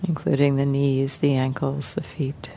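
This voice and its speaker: A woman teaching guided meditation in a gentle, unhurried manner, leaving long pauses between short phrases. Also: rumbling low, level tone, vocal fry, a little hiss or hum.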